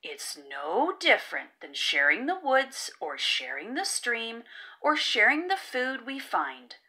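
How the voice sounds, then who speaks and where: Woman as a gentle, slow-talking mother raccoon. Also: a little high, wildly swooping pitch, husky, exaggerated voice. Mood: gentle explaining. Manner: motherly, soothing, patient.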